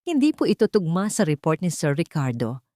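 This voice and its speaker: A woman plays a shrewd male detective reporting respectfully to his boss in an audio drama. The voice, very mobile pitch and a dry sound. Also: very animated, brisk, mellow, no echo. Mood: factual, revealing. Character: shrewd, deferential male private investigator.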